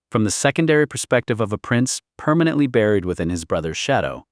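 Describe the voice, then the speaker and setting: A man with a fairly deep voice narrates historical fiction. His delivery is scripted, solemn and measured, with a portentous dramatic weight. The sound is very dry, with hardly any room around it. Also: dry recording. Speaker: audiobook narrator.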